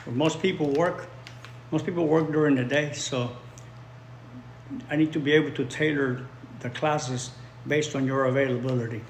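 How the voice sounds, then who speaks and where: A man's voice: a little high, steady tone, moderate pace, long pauses, slight background noise. A man whose first language is Spanish, teaching English and chatting casually with viewers on a livestream, often repeating his phrases for learners. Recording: roomy echo, faint ambience.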